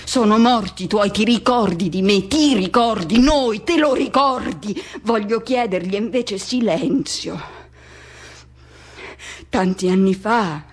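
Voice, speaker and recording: A woman performing a poetic radio monologue as a man haunted by memories of teenage first love, speaking in halting, insistent fragments. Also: medium pitch, slightly rough. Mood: brooding, searching. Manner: halting fragments, insistent repetitions, self-questioning.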